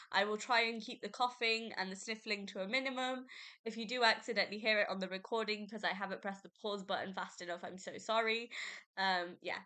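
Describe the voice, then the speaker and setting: A woman's voice: mid-range voice, sharp tone, smooth. A young woman, congested from a cold, chatting casually as host of her own book podcast. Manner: chatty, rambling, with fillers and self-corrections.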